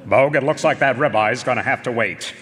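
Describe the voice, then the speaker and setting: An actor playing a rumpled, wry private eye who delivers deadpan hardboiled lines in a noir radio drama. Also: male voice, medium pitch, fairly quick, natural voice. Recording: live in echoey concert hall.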